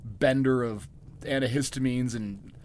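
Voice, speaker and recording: A man's voice: mid-range voice, steady tone, unhurried, darkish tone. A man co-hosting a podcast in casual chat, laid-back and self-mocking, with a bit of swearing. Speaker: podcast co-host.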